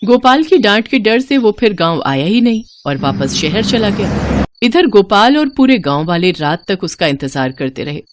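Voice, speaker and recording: A woman's voice: medium pitch, sing-song, moderate pace, noisy background. A woman narrating a scripted moral story as a storyteller.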